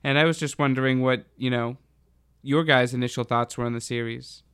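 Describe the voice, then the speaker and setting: Male podcast host, conversational, smooth clean voice, very dry sound. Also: medium pitch, fairly even intonation, brisk, a bit bright, dry recording.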